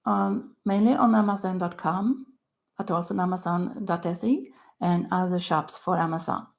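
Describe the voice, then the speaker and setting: An older woman with a Swedish accent, speaking English in halting phrases, her voice dropping to a soft near-whisper at the ends of phrases as she talks about her own book. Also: mid-range voice, creaky. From Sweden. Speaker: author. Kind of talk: self-recorded book talk.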